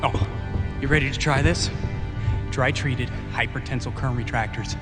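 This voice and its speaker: An actor playing an eager, chummy, slightly fussy mechanic in a video game. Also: male voice, medium pitch, steady tone, normal speed, long reverb tail, over loud music, natural voice. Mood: enthusiastic. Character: chatty, eager rig mechanic, a man. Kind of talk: video game cutscene acting.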